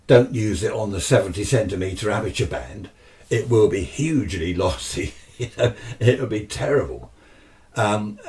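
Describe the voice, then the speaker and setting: A man's voice: on the low side, darkish tone. A British man giving an informal, unscripted hands-on explanation as a hobbyist, chatting to the viewer with asides and questions. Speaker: amateur radio hobbyist presenting a demonstration. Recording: distant mic, noisy with clicks.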